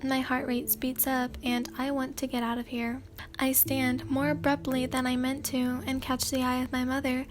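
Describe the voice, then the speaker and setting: A woman with a high voice reads a story in a hushed, sleepy ASMR tone. She keeps an even, level delivery, pausing on fillers and clearing her throat now and then. Music plays softly behind her. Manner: soft and hesitant, many fillers and throat clears. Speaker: ASMR channel host reading fan fiction. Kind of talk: sleepy soft-spoken ASMR reading.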